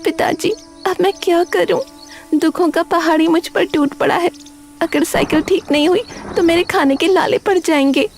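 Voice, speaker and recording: A woman voicing an earnest, hard-working young female food seller in a cartoon, with an emotional, expressive delivery. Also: extremely high pitch, normal speed, soft background music, voice pushed up. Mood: despairing. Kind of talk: cartoon story character dialogue.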